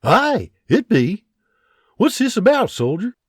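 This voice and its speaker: A man voicing a steady, firm older farmer and father with plain, rustic speech in an audiobook. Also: sits high, slow, slightly rough, no echo, clean studio sound, pitched above the performer's own voice, heavily acted. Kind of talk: audiobook character voice.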